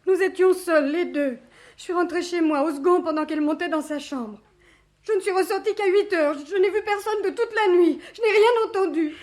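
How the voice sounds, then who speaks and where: An earnest young waitress with an extremely high voice. She pleads emphatically, in radio-drama style. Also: moderate pace, darkish tone. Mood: distressed, insistent. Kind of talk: French radio play acting.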